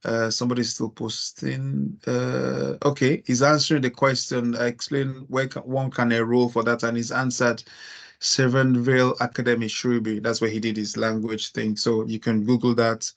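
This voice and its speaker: A man's voice: medium pitch, flat delivery, normal speed, vocal fry. Nigerian man hosting an online meeting. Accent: Nigerian with some American influence. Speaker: webinar host. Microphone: video call.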